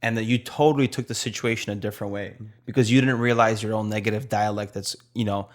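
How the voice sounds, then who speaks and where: A man from Poland with a Polish accent, co-hosting a nursing podcast in casual, blunt chat and slowing down to stress his points. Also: lowish voice, fairly even intonation. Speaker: nurse podcast co-host.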